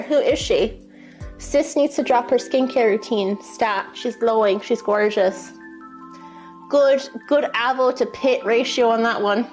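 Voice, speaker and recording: A woman with an Irish accent chatting casually and playfully while hosting a live cooking stream. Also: on the high side. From Ireland. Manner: chatty, playful, reads out viewer messages. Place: indoors, in a kitchen. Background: music.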